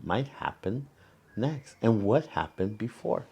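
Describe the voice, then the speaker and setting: Male children's storyteller, lowish voice with gravelly creak, gentle and deliberate read-aloud delivery. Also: expressive. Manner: gentle, deliberate, pausing between phrases. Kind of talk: read-aloud of a picture book with asides to children.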